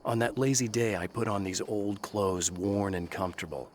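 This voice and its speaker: An actor playing a haunted, weary male writer who narrates a horror game in a low, measured, brooding voice. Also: steady tone, darkish tone, a little hiss or hum, close to an everyday voice. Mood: reflective.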